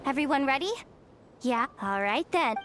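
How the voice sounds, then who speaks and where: A woman's voice: high-pitched, lively intonation, moderate pace, noisy background. A woman playing a cocky, evasive young female treasure hunter in a video game, with a breezy, boastful, theatrical manner. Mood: eager. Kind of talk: video game character acting.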